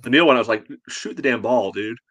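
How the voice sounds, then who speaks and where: A man's voice: medium pitch, fast, a bit bright, no room sound. A man co-hosting a sports podcast, casually discussing a match in a blunt, colloquial way.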